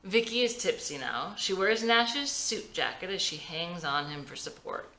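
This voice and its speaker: Female script reader for screenplay narration, lowish and bright, lively intonation, echoey room. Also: measured pace. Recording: roomy, echoey sound.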